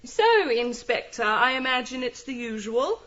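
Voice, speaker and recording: A woman's voice: high-pitched, big pitch swings, a little slow, fairly bright tone, muffled recording, exaggerated voice. An actress playing a cheeky, self-assured young woman detective in a radio mystery, speaking in an arch, blunt, teasing way. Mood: breezy, teasing. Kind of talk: radio mystery drama acting.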